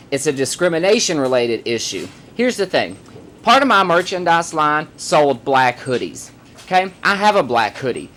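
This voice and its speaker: A man who performs in drag, hosting his own vlog and giving emphatic, opinionated commentary straight to the camera, hammering points home with repetition. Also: a little high. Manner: emphatic, forceful, repeats points for effect.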